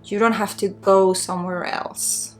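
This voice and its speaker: A woman with a Swedish accent giving unscripted spiritual guidance in an ASMR healing session, earnest and encouraging, with halting phrasing. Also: medium pitch, flat delivery, soft background music. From Sweden. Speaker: spiritual guide and energy healer.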